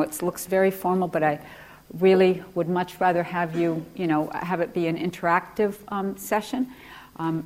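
A woman's voice: mid-range voice, darkish tone. A middle-aged woman from Ecuador with an American accent, giving a conversational lecture to a class with frequent fillers and restarts. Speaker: guest lecturer.

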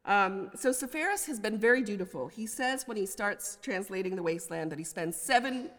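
A woman's voice: mid-range voice, expressive, a little fast, fairly bright tone. A woman with an American accent giving an academic poetry lecture, scholarly but with conversational asides. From United States. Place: large indoor lecture hall. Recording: reverberant lecture hall.

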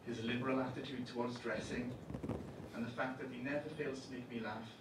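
A man's voice: mid-range voice, steady tone, fairly quick. A young man narrating a scripted personal story in a dry, understated way. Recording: roomy, distant and noisy.

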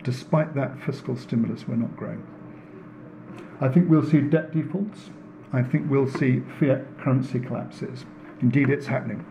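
A man giving a public lecture in an earnest, deliberate way, repeating phrases for emphasis. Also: medium pitch, gravelly, warm tone, a little hiss or hum, low-quality recording. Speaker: speaker giving a talk, works in renewable energy. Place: indoor room in front of an audience.

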